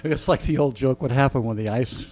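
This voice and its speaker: A man's voice: mid-range voice, brisk, darkish tone. A middle-aged man, a veteran radio host, chatting and telling stories in a rambling, dryly joking way as he is interviewed. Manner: joking, rambling, dry humour. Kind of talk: casual storytelling interview chat.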